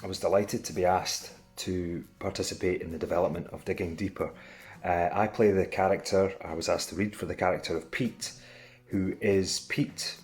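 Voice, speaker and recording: A man with a deep voice talks casually as an actor being interviewed. Gentle music sits underneath. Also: steady tone, normal speed.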